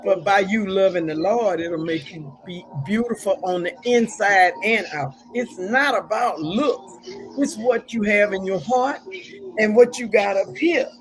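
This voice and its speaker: A woman hosting her own talk show, talking in a folksy, preachy way. She strings together stories and scripture in loose casual chat. Her voice sits at an ordinary middle pitch. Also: a little slow, slight background noise, rough recording.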